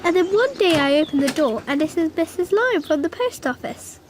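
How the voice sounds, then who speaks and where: High-voiced, earnest little girl. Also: lively intonation, slight background noise. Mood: earnest wonder. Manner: childlike, earnest, halting over long words. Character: cheerful, literal-minded six-year-old girl narrating. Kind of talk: radio drama narration.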